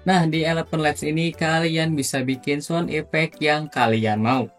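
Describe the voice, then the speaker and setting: A man's voice: on the high side, steady tone, unhurried. A man narrating a casual, scripted tutorial as its host. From Indonesia, Sunda region. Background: background music.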